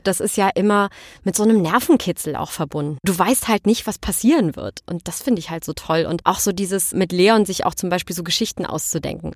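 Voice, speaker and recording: A woman speaking as an interview guest in casual chat, telling things in an animated, slangy way. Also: on the high side, no room sound, clean studio sound. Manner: animated storytelling with colloquial slang.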